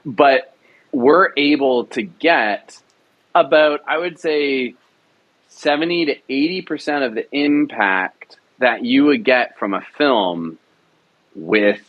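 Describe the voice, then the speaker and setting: A man's voice: mid-range voice, slow, muffled recording. A man producer talking in an interview, enthusiastic and rambling, with many restarts. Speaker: radio drama producer. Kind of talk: casual interview talk.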